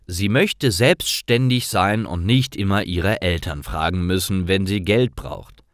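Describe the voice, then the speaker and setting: Guy narrating easy stories for language learners, lowish voice, dry sound, no room. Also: measured pace, no room sound.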